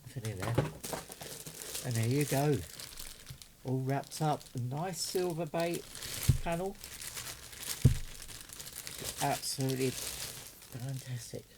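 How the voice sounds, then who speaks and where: A man's voice: medium pitch, slow pace, long pauses, background noise. A man giving casual, doting unboxing commentary as a hobbyist, chatting and muttering to himself as he works. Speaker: radio hobbyist vlogger.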